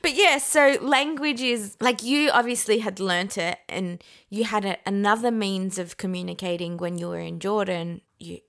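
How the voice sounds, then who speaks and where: A young Australian woman with a noticeably gravelly, creaky voice chats on a podcast. She talks casually, jokes drily, and swears without a second thought. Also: medium pitch, steady tone, moderate pace, few pauses, fairly bright tone. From Australia, Persian-speaking family. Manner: wry, joking, swears casually. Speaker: podcast co-host. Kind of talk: casual chat.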